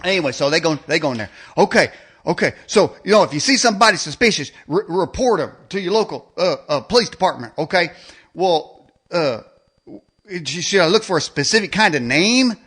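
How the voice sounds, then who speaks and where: High, bright-voiced male ranting talk show host. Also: expressive, fairly quick, slightly rough. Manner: stammering, repeats phrases, sarcastic, colloquial. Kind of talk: improvised rant monologue with mocking role-play.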